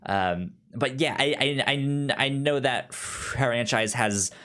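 A man talks casually as a podcast co-host. His voice is of average pitch, and he speaks in a halting way, doubling back on words as he goes. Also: sharp tone. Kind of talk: casual chat about films.

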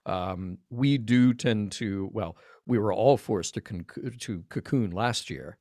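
A man's voice: fairly low pitch, takes it slowly, dark tone, no echo, clean studio sound. A man from Alabama with an American accent, talking thoughtfully and discursively in a casual podcast discussion. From Birmingham, Alabama, United States. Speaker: podcast guest.

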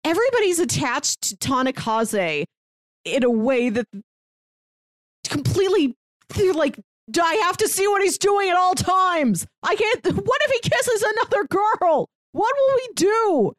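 A woman's voice: very high voice, big pitch swings, unhurried, no room sound, clean studio sound. A woman co-hosting a podcast, chatting casually and expressively as she discusses stories. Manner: acts out characters' lines while retelling. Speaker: podcast co-host reviewing comics.

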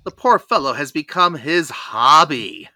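A podcast host recaps a cartoon with theatrical flair. The voice is bright and very animated, rising and falling freely. It is recorded dry and close. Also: mid-range voice, a little slow, no room sound. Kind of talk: lively cartoon episode recap.

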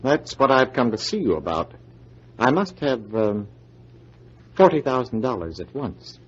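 A husky, scheming blackmailer from an old radio drama. His pitch moves theatrically up and down as he talks down to people with suave menace. The recording is dull and muffled. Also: big pitch swings, unhurried. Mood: slyly pressuring. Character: smooth, scheming, cruel male blackmailer.

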